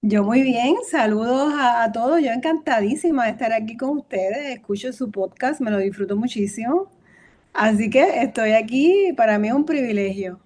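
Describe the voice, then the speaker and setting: Animated woman with faded Cuban accent. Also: fairly high pitch, moderate pace. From Cuba. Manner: animated, repeats words while searching for them. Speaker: historian and author, guest. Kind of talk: conversational interview.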